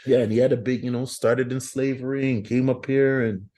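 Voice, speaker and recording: A man's voice: mid-range voice, steady tone, a little fast, warm tone. A Canadian man talking as a podcast guest, rambling and often asking "you know what I'm saying". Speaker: guest artist. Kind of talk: casual podcast interview.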